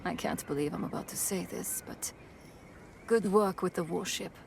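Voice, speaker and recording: An actress playing a tough, dry-witted raider boss in a dramatic video game, curt and commanding. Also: female voice, fairly low pitch, normal speed, vocal fry, darkish tone, slight background noise. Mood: grudging.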